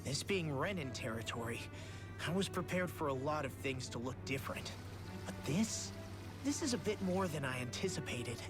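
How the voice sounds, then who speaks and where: Jittery young mage, animated intonation, colloquial, cheeky, video game, prominent music. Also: female voice, sits low, normal speed. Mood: taken aback. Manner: colloquial, expressive.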